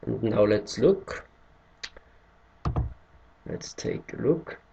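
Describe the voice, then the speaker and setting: A man's voice: on the low side, animated, drawn-out words, vocal fry, warm tone, muffled recording, a little hiss or hum. A man from Austria, a native German speaker with an Austrian German accent, hesitantly walking through a screen-recorded tutorial in English and searching for words as he goes. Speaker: tutorial maker.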